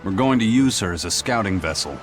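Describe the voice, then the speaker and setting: A stern, composed pirate officer from a game speaks in a low voice. The delivery is measured and matter-of-fact, with music clearly behind it. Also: male voice, expressive. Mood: businesslike. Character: stern, composed male pirate first mate. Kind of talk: dramatic game voice acting.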